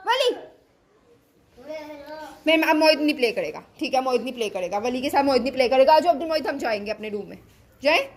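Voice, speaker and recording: A woman's voice: high-pitched, animated, fast talker, long pauses. A woman in her thirties with a Pakistani Urdu accent, chatting casually in a home vlog and talking to her children. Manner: chatty, scolds and coaxes children. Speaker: vlogger and mother. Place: home and restaurant.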